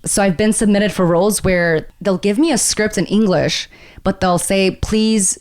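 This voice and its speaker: A woman's voice: mid-range voice, steady tone, normal speed, a little mellow. A young woman, born in Korea, talking casually and reflectively as a podcast guest, with many fillers. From born in Korea, grew up in America.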